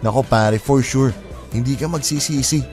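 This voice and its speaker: A man playing an excitable, gossipy male college best friend in a radio drama, slangy and teasing. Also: mid-range voice, normal speed, soft background music, close to an everyday voice. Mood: eager, gossipy. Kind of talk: Filipino radio drama acting.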